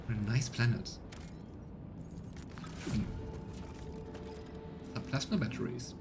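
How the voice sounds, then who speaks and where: A man's voice: medium pitch, animated, slow, heavy background noise. A man giving casual let's play commentary as he streams a video game. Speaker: streamer playing a video game and voicing its text.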